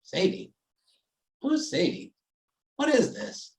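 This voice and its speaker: A man's voice: extremely high pitch, wildly swooping pitch, slow, creaky, a little mellow, far from the mic, heavily acted. A man playing an exasperated, baffled boyfriend in an old-time radio play, indignant and demanding. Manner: indignant, insistent questioning.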